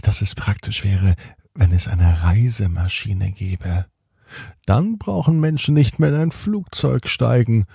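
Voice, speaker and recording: A man hosting a children's podcast, narrating a bedtime story in a deliberate way and speaking directly to young listeners. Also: lowish voice, gravelly, dark tone, slightly rough, no room sound, clean studio sound. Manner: addresses children directly, slow deliberate phrasing with repetitions.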